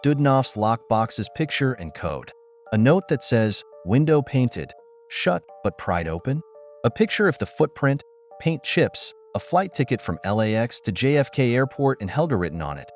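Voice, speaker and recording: A man's voice: medium pitch, very animated, normal speed, faint music behind. A man reading a scripted recap narration as the host of a breakdown video. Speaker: host of a TV episode breakdown channel.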